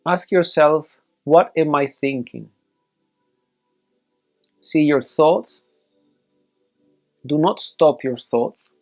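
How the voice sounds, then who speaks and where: A man leading a guided meditation as a teacher, giving measured instructions in short, separated phrases. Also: a little high.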